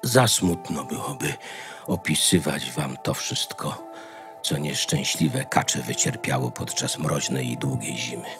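A man narrating a fairy tale in a theatrical, expressive storytelling manner. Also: rumbling low, measured pace, music in the background.

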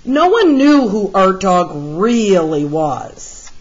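A woman reads a children's picture book aloud at a slow pace. Her delivery is expressive and deliberate, like a storyteller guiding young listeners through the pages. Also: mid-range voice, breathy edge, muffled recording. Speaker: read-aloud storyteller, art teacher.

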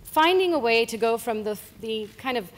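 A woman's voice: a little high, expressive, normal speed. A woman moderating an onstage interview, hesitant and repeating words as she frames her questions. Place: conference stage before a seated audience. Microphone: handheld mic. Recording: reverberant conference hall.

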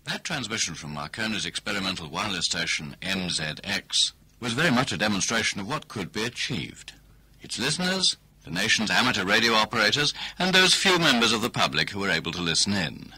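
A man narrating a radio play in a steady scripted delivery. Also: fairly low pitch, fairly quick, breathy edge, poor audio.

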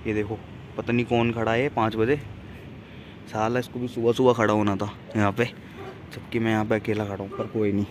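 A man's voice: fairly low pitch, flat delivery, a little fast. A young man vlogging casually outdoors on a phone, chatting upbeat to his viewers. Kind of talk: casual vlog to camera. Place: outdoors by a road at dawn. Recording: loud road and traffic noise. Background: traffic noise.

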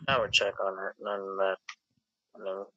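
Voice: low-pitched, little pitch movement, normal speed, fairly bright tone, no echo. A terse, hesitant speaker improvising casually in a video game roleplay, recorded on a lo-fi mic.

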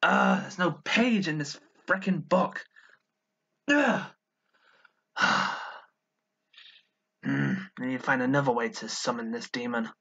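A man's voice: mid-range voice, unhurried, vocal fry, sharp tone, highs cut off, exaggerated voice. A man voicing an irritable, sulky, sardonic demon-summoner in amateur cartoon voice lines, muttering to himself in exasperation. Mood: brooding, frustrated.

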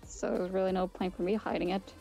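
A woman's voice: medium pitch, fairly even intonation, fast talker, vocal fry, close to an everyday voice, low-quality recording. A woman playing a blunt, indifferent wandering demon in a game roleplay, speaking in an offhand way. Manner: offhand, nonchalant. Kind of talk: improvised game roleplay dialogue. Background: background music.

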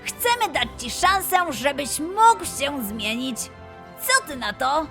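A woman voicing a kind, earnest, excitable young lion boy in a children's audio fairy tale. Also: sits high, slow pace, soft background music, exaggerated voice. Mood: kind, encouraging. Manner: explaining patiently, exclaiming eagerly.

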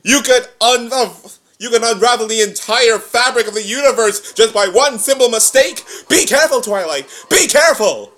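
A young man giving excited, exclamatory reaction commentary, recorded on a webcam in a living room. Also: extremely high pitch, normal speed, few pauses. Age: young adult. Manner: animated, exclamatory. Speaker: reaction video host. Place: living room, seated on a couch.

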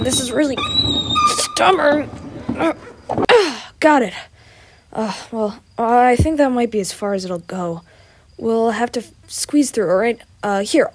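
Woman acting a high-voiced goofy young male adventurer. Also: noisy background. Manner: bumbling, playful, stammering. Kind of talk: audio roleplay addressed to the listener.

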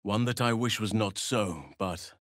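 A male actor playing a grave, earnest elf druid in a video game, speaking solemnly in measured, formal phrases. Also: lowish voice, a bit bright, dry recording, clean studio sound. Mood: solemn, sorrowful. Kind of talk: scripted game voice lines.

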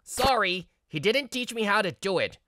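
A woman's voice: medium pitch, sing-song, normal speed, a bit bright, slight background noise, pitched above the performer's own voice. A woman voicing a cocky, irritated young male rookie office worker in a manga video dub. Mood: defensive. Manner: dismissive, irritated.

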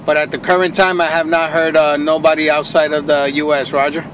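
A man's voice: on the high side, little pitch movement, a little fast, a bit bright. A plain-spoken man operating a ham radio from inside a car, chatting in operator style. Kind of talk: ham radio contact chat. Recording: faint noise inside a car.

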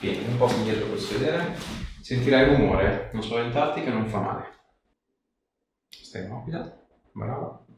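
A man working as a chiropractor, giving a patient brief, reassuring instructions in a creaky voice. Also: mid-range voice, animated, normal speed, long pauses. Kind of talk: giving short hands-on instructions to a patient during an exam. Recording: echoey room, distant mic, background noise.

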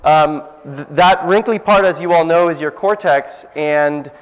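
A middle-aged man with a loud voice giving a casual, self-deprecating science talk to a cafe audience. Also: fairly high pitch, a little slow. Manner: self-deprecating, lively, jokey. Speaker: science speaker. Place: cafe event space, indoors. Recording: echoey event space.

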